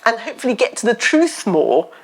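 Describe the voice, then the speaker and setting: A woman novelist with a loud voice, talking at a live festival event on stage into a microphone, chatty and funny as she tells stories. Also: on the high side, a little fast, low-quality recording. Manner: chatty, animated storytelling with self-deprecating humour. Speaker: novelist guest. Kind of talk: live on-stage literary chat. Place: festival stage before an audience.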